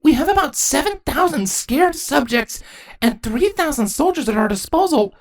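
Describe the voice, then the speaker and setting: A woman's voice: high voice, expressive, moderate pace, voice pushed up. A woman voicing an earnest young changeling princess in a fantasy story, anxious and urgent. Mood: worried, urgent.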